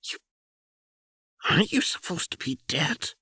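A man voicing a brash, volatile, sharp-tongued female rebel in an audiobook, blunt and slangy. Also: a little slow, no room sound, studio quality. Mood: stunned.

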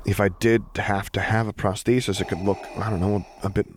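A man's voice: fairly low pitch, flat delivery, fairly quick, slight background noise, natural voice. A man voicing a shy, flustered young boy who is anxious to please and speaks hesitantly, with stammers. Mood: sheepish.

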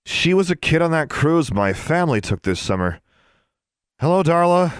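An uneasy teenage boy, as a narrator puts him on, answering in short, evasive replies, pitched a little higher than the reader's own voice. The storybook reading sounds dry and close. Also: big pitch swings, normal speed, no room sound. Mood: awkward. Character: awkward, embarrassed teenage boy.

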